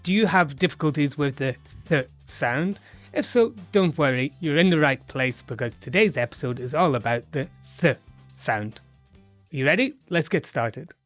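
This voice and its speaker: A man hosting an English pronunciation lesson, modelling sounds slowly and carefully. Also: fairly high pitch, animated, faint music behind. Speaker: English teacher and host. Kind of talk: friendly language lesson.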